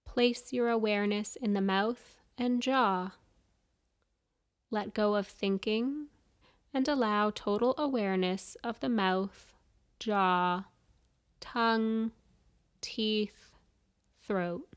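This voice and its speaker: Slow, smooth-voiced woman guiding meditation. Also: mid-range voice. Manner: soothing, measured instructions with pauses. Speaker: yoga nidra guide. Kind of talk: guided meditation.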